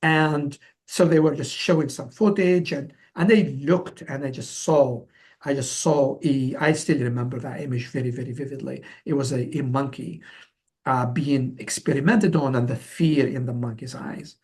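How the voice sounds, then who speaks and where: A man in his forties from Algeria answering as an interview guest, telling his story conversationally in a halting way, often repeating words and restarting. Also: medium pitch, darkish tone. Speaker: interview guest, company founder.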